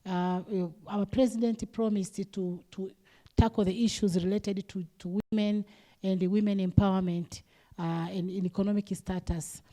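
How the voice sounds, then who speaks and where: A woman's voice: medium pitch, steady tone, moderate pace, warm tone. Hesitant woman panelist from Tanzania. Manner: hesitant, repeats words. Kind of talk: panel discussion remarks.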